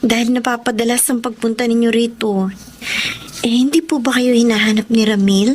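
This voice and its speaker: A woman's voice: on the high side, steady tone, fairly quick, a little mellow, slight background noise, natural voice. An actress playing a shy, deferential young mother in a Filipino radio drama, polite and a little hesitant. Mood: bashful, polite.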